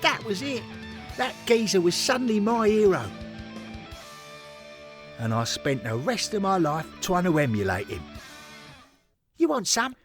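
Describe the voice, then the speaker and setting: A man's voice: high voice, wildly swooping pitch, a little slow, long pauses, faint music behind, voice pushed up, heavily acted. A man voicing a rowdy, cocky old-school football hooligan in an audio story, with a brash, slangy London accent. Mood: belligerent.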